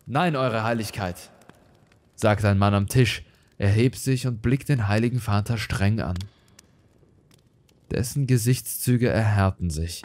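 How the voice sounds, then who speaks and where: Man, low voice, horror storyteller, grave, measured, theatrical delivery. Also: a little mellow. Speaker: storyteller, narrator of horror podcast. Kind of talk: scripted dramatic horror story narration.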